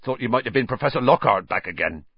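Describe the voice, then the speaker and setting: A man voicing a huge, gruff but kindly male gamekeeper who talks in a blunt growl. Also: medium pitch, expressive, quick pace, no echo, highs cut off.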